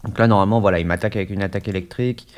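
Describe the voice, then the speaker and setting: A man's voice: lowish voice, fairly even intonation, very fast. A man with a head cold, chatting casually through a gaming session at his desk mic, hesitant and full of fillers. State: stuffy, has a cold. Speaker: gaming YouTuber. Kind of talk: casual let's-play commentary.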